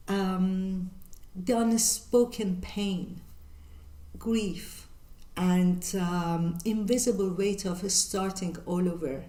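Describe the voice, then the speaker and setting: An older woman from northern Iran with a Persian accent, hosting her channel and answering viewer questions in an earnest, measured way with many pauses. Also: mid-range voice, darkish tone, reverberant. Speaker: channel host. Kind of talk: reflective Q&A monologue.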